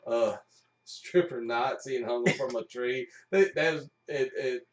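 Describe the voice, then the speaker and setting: A man's voice: mid-range voice, unhurried. A man joking around in a casual group chat, often laughing as he talks. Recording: roomy, picked up far from the mic.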